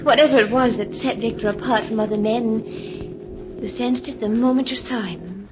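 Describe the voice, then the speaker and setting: An actress playing an anxious, gentle sister who narrates a 1940s radio suspense tale with earnest, dramatic pauses. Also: female voice, a little high, music in the background, natural voice. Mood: grave, wistful. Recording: dull, noisy 1940s radio recording.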